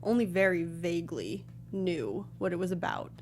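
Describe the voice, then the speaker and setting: A woman's voice: on the low side, expressive, normal speed, a little mellow. A woman co-hosting a casual review chat show. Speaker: talk show co-host.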